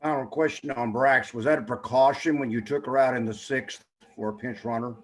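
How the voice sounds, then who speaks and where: A man's voice: mid-range voice, brisk. Man asking plain press questions. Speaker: reporter.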